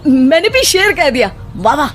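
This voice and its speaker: A woman's voice: high voice, big pitch swings, normal speed. A woman voicing an easygoing, dismissive husband in casual dialogue for a cartoon moral story. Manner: breezy, colloquial, brushing off complaints. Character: easygoing, dismissive married adult son. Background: background music.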